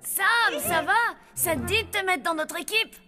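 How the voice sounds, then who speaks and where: A woman's voice: very high-pitched, animated, measured pace, music in the background. A woman voicing a pushy, scheming young child in a cartoon. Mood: friendly. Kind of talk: French cartoon voice acting.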